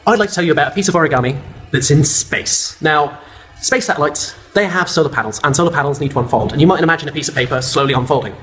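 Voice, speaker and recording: A man explains at a quick clip, eager and clear, walking the listener through a demonstration. His voice sits a little high. Faint background noise sits under the recording. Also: a bit bright. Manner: eager, explaining while demonstrating a paper fold. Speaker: guest presenter.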